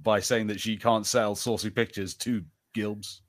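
A man's voice: on the low side, fairly even intonation, a little slow. A man co-hosting a podcast, reacting in casual chat with a hesitant, word-repeating way of talking. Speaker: podcast co-host.

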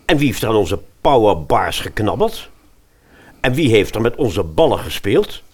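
A man voicing a loud, indignant tall volleyball player in a fairy-tale radio play. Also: lowish voice, very animated, normal speed, breathy edge.

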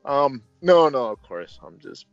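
A man's voice: medium pitch, sing-song, measured pace, creaky, no echo, dull audio, faint music behind. A man hosting a casual movie review, talking in a joking, rambling way.

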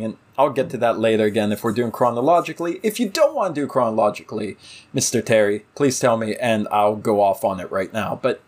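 A man co-hosting a podcast in a casual discussion, with a wry, playfully exaggerating way of talking. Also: medium pitch. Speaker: podcast co-host.

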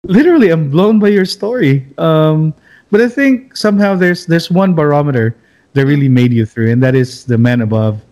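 Filipino male host, slightly high voice, chatty and affirming. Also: normal speed, darkish tone. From Philippines. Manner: encouraging, many fillers and tag questions. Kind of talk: casual interview chat.

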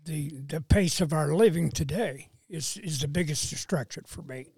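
A man in his seventies talks in an unhurried, plain-spoken way. His voice is gravelly and sits a little higher than most men's. The recording is close and dry. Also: darkish tone, no room sound. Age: 70s. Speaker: podcast guest, host's father. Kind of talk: casual conversation.

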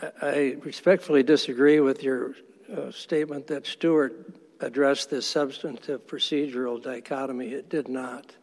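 A man arguing as attorney for the respondent before an appellate court, formal and measured, respectfully firm when he disagrees. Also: mid-range voice, steady tone, darkish tone. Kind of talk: appellate oral argument. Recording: long courtroom reverb.

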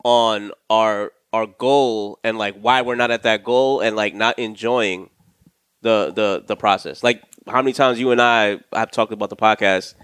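A man's voice: on the low side, fairly even intonation, a little slow, fairly bright tone, clean tone, dry recording, clean studio sound. A young man co-hosting a podcast, talking in a laid-back, reflective way with plenty of casual fillers. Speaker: podcast co-host.

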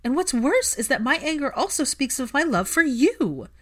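Female narrator as young storybook heroine, raised pitch, emphatic, fiercely loving, hurt, defiant. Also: sing-song, normal speed. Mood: shaken, fierce. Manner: emphatic, impassioned.

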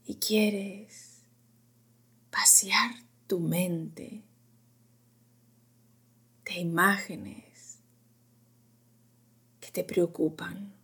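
A woman with a very breathy, lowish voice guides a sleep meditation. She speaks softly and soothingly, often slipping into a whisper. Her pace is extremely slow, with long pauses between short phrases.

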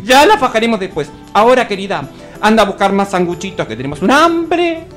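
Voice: medium pitch, big pitch swings, fairly quick, fairly bright tone, faint music behind. An actor playing a cruel, bossy stepmother in a comic radio play, scolding theatrically with an Argentine Rioplatense accent. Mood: imperious. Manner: scolding, theatrical, breaking into hesitant improvised stammers. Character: cruel bossy stepmother of Cinderella, mocking and greedy.

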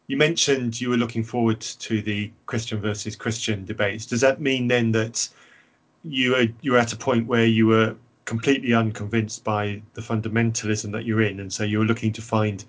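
A man hosting a podcast, politely putting measured questions to a guest in a conversational way. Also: fairly low pitch, lo-fi sound. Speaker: podcast host. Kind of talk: hosting and interviewing.

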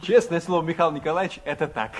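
An actor playing an impulsive, playful young son, affectionate and pleading, in a 1960s radio drama. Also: male voice, a little high, fast, close to an everyday voice. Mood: tired, coaxing. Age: young adult. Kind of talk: 1960s Soviet radio play acting. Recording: noisy vintage radio recording.

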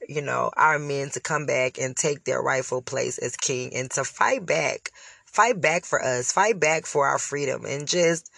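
A woman's voice: sits low, vocal fry, no room sound. A woman hosting a casual solo podcast, sick with a scratchy voice, talking bluntly and directly to her listeners. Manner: blunt, animated and preachy, addressing listeners directly. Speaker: podcast host. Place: parked car. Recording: noisy car recording.

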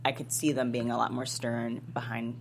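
A woman from Texas with an exceptionally low voice chats casually as a podcast panelist. There is faint noise behind her voice. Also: level tone, moderate pace. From Texas, United States. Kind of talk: casual chat.

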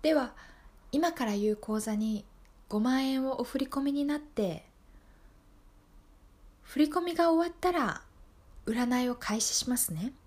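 Soft, sly female fortune teller, acted by a woman. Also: high voice, expressive. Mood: smooth, businesslike. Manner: soft, measured, soothing sales patter. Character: calm, sly fortune teller selling costly marriage readings. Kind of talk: improvised radio drama acting.

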